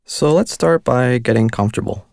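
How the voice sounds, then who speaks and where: A man leading a guided meditation, giving calm, gentle instructions with unhurried pauses. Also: medium pitch, creaky, no echo, studio quality.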